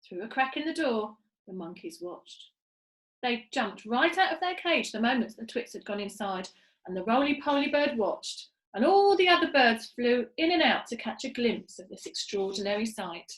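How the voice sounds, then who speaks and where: High, animated woman storyteller, echoey room. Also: moderate pace. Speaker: storyteller reading aloud. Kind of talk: expressive children's story reading.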